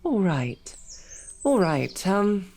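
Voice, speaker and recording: A woman's voice: medium pitch, big pitch swings, very slow, darkish tone, soft background music, full character voice. A woman voicing a captive young woman knight who talks in a dry, formal, wryly understated way, in an audio roleplay drama. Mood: flustered. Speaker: voice actor.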